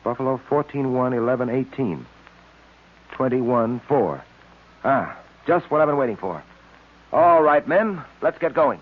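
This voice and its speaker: Authoritative police detective, vintage radio drama, clipped businesslike delivery, mid-pitched, muffled old broadcast sound. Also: male voice, expressive, measured pace, pauses often. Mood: intent, decisive. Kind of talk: 1930s-40s radio serial acting.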